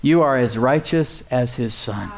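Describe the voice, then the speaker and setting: A man's voice: mid-range voice, measured pace. A male pastor preaching a sermon in a conversational, emphatic way, repeating words and chuckling now and then.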